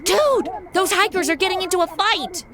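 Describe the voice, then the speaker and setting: A woman playing a sarcastic, excitable young amateur sleuth in a comedy audio drama, with a flippant, exclamatory delivery. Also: very high voice, moderate pace, a crowd in the background. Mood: panicked.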